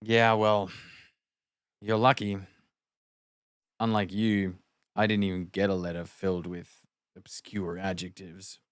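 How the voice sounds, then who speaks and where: Man acting a miserable, low-voiced dumped young guy. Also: level tone, slow pace, pauses often, clean tone, no room sound, clean studio sound. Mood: glum, subdued. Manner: sighing, downbeat. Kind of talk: table read of a film comedy scene played sad.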